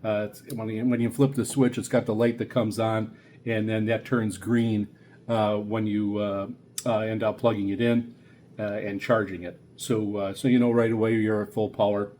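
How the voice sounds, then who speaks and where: A middle-aged man giving a casual, unscripted equipment review as a video host, explaining in a halting, repetitive way. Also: lowish voice, little pitch movement, mellow, a little hiss or hum. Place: small indoor room at a radio desk.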